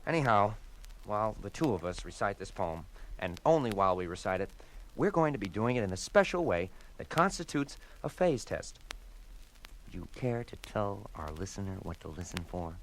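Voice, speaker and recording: A man's voice: lowish voice, big pitch swings, moderate pace, low-quality recording. A man voicing a pompous male stereo speaker in a comedy record sketch, huffy and theatrical. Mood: mock-formal.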